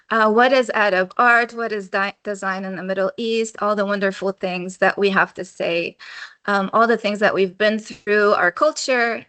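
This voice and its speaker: A woman from Lebanon, an educator and researcher, answering interview questions in a lively conversational way and sometimes acting out her students. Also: medium pitch, no echo. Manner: animated, acts out students' voices.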